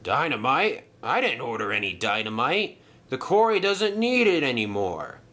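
A man voicing a gruff, grumpy dock crane with a careless, muttering, dismissive manner in a children's story. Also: mid-range voice, big pitch swings, normal speed, sharp tone. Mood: indignant.

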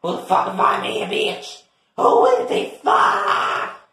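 A man voicing a brash, combative woman with a rough, raspy voice in a comedy skit. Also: high-pitched, huge pitch swings, slow pace, sharp tone, exaggerated voice. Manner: brash, foul-mouthed, defensive. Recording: very echoey, reverberant room.

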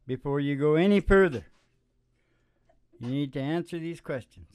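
Mellow middle-aged man, folksy rambling host. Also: fairly high pitch, lively intonation, clear, resonant tone, no room sound. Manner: playful, unhurried, joking, drifting off into asides. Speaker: host of a music and comedy show. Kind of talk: folksy rambling stage patter, storytelling. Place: small dim curtained stage room. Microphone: stand microphone. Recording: guitar playing behind the voice. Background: acoustic guitar.

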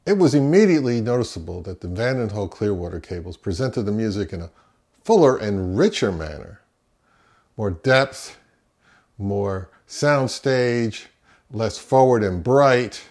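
A man's voice: fairly low pitch, expressive, a little slow, darkish tone. A middle-aged man, around his fifties, giving a conversational product review as the host of an audio gear channel. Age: 50s to early 60s. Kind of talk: conversational, to camera.

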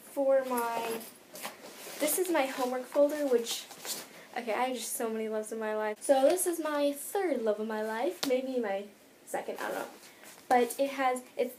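High-pitched American teenage girl, chatty. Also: normal speed, a little mellow, slight background noise. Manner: chatty, rambling, filler-heavy with frequent "like". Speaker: vlogger. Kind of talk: casual chat to camera, showing bag contents. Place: bedroom, indoors.

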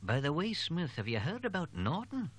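A man's voice: on the high side, huge pitch swings, moderate pace, husky, voice pushed up, full character voice. A male narrator voicing a talkative, teasing young male student. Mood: chatty. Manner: chatty, teasing, rambling.

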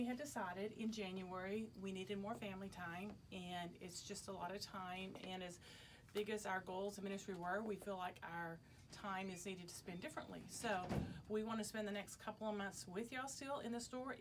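A woman's voice: mid-range voice, fairly even intonation, normal speed. A middle-aged woman, a shop owner making a casual announcement to customers, recorded indoors a few steps from the camera. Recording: background noise, camera from a distance.

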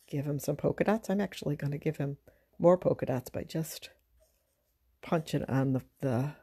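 Low-pitched, mellow woman teaching drawing. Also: breathy edge. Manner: halting, unhurried, conversational. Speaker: art teacher. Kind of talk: informal drawing demonstration, thinking aloud. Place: indoors at a drawing table.

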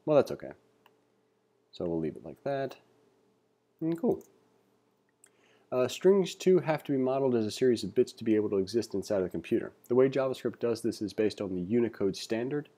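A man giving a read-along tutorial as an instructor, reading aloud and adding informal asides as he thinks out loud. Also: mid-range voice, expressive, a little fast, long pauses. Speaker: programming instructor. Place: indoors, screen-recording voice-over.

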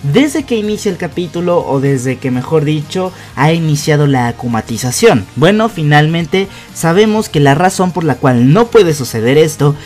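A young man with a slightly high voice narrates an episode breakdown as an online host. He explains point by point in a conversational, emphatic way. Music plays softly behind him. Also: animated, normal speed, hardly any pauses. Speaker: YouTube host. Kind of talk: narrated cartoon episode breakdown, countdown list. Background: background music.

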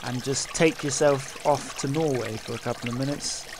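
A man's voice: medium pitch, steady tone, normal speed, noisy background. A man narrating his own fishing video in a casual, informal voice-over.

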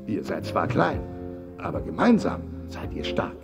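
A performer voicing a kindly, fatherly old male turtle with a gravelly voice in a children's audio play. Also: sits low, huge pitch swings, a little slow, over music, heavily acted. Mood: gentle concern.

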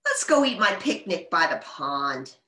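A woman's voice: on the low side, measured pace, echoey room, full character voice. A woman voicing a cheerful, eager young female pig in a children's storybook, exclamatory and easily delighted. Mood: contented. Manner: exclamatory, squealing when excited.

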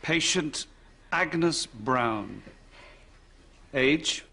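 A man's voice: a little high, expressive, very slow, creaky, full character voice. A man playing a firm, sceptical doctor in a sitcom, clipped and matter-of-fact. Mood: clinical. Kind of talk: sitcom acting, straight man. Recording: noisy studio sitcom sound.

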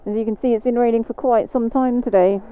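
A woman motorcycle instructor with a British accent, calmly talking through each step as she rides. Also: on the high side, clear, resonant tone. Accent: British English. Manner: calm, measured, talks through each riding step. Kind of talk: instructional commentary while riding. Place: on a motorcycle on wet roads in rain. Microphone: helmet mic while riding. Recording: phone-like helmet mic, road and engine noise.

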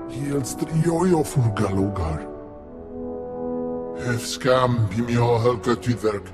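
Slow, deep-voiced male alien. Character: slow-talking male alien speaking an invented tongue. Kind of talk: invented alien language dialogue in video game.

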